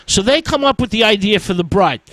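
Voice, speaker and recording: Halting radio host man, lively pitch. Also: dry recording. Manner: frequent "uh" fillers, halting delivery. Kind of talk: radio film-history talk.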